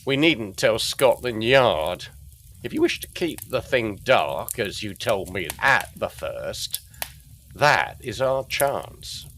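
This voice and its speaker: A low-pitched, gruff police sergeant from an old London mystery. He speaks slowly and deliberately in plain working man's phrases, with lively swings of pitch. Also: a little hiss or hum, full character voice. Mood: matter-of-fact. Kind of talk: gothic audio drama acting.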